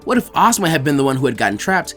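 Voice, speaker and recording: A man's voice: a little high, sing-song, racing, a bit bright, faint music behind. A man narrating fan fiction as a scripted story reading.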